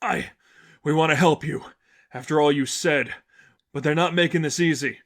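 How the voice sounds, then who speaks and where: A man acts out an uneasy defector from a cult in the thick of a story's battle. He speaks in an urgent, earnest way, a little higher than a plain narrating voice. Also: moderate pace, close to an everyday voice. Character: urgent male reformed cultist, earnest, battle-strained. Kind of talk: audiobook-style character acting.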